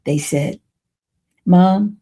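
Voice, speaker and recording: An elderly woman in her eighties hosting a podcast, telling a personal testimony in a deliberate way, with dramatic pauses between short phrases. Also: lowish voice, warm tone, slightly rough. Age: 80s. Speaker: podcast host. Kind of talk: personal testimony storytelling to listeners.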